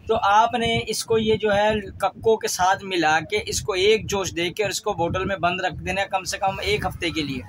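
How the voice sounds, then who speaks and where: High-pitched Pakistani man over background noise. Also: fairly bright tone, low-quality recording. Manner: repeats phrases for emphasis. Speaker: fishing channel host. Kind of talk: casual instructional talk to viewers.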